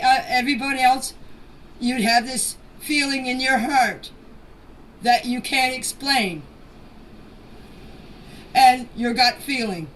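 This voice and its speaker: Woman, high voice, plainspoken, emphatic, repeats for stress, unscripted voice message, faint background noise. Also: a bit bright. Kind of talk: unscripted personal statement in voice messages. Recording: noisy voice message, distant from mic.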